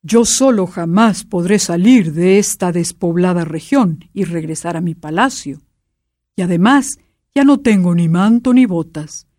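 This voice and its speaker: A woman's voice: fairly low pitch, a little slow, mellow, no echo, studio quality. A woman voicing a worried, stammering old king in a storybook. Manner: stammering, fretful. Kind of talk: storybook character voice.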